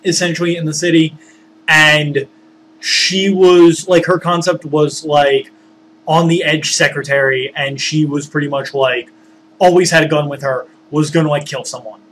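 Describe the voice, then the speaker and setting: A man telling a story casually, rambling and halting as he searches for words and digresses. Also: a little high, steady tone, a bit bright, slight background noise, poor audio. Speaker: storyteller, former tabletop game master.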